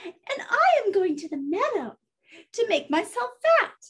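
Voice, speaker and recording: A woman's voice: sing-song, measured pace, a bit bright, heavily acted. A woman voicing the tiniest young billy goat in a children's story, timid and pleading in a very small voice. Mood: timid, innocent. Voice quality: very small, squeaky voice.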